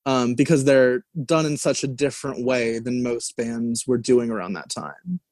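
A man's voice: mid-range voice, steady tone, normal speed, a little mellow, dry recording. Male co-host, casual rambling music talk, dry recording. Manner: rambling, many fillers and restarts, laughs easily. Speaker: album review co-host.

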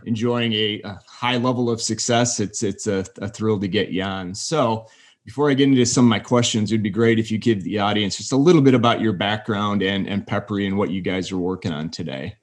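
A male podcast host with a fairly low voice opens the show with an upbeat, scripted intro. He then moves into friendly interview questions. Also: fairly quick.

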